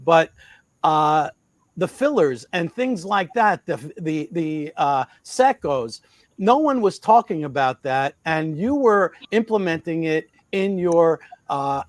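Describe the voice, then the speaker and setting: An eager male co-host with a higher-than-average pitch stumbles over repeated words as he praises his guest and builds to a question. The recording is dry and close-miked. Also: no echo. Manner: enthusiastic, halting with repeated words. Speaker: show co-host. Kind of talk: casual interview chat.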